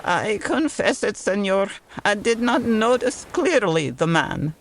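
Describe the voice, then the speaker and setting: A woman's voice: medium pitch, very animated, unhurried. A woman playing an eccentric, world-weary old Cuban waterfront woman with a Cuban Spanish accent and dramatic, formal phrasing, in an audio comedy. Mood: deliberate.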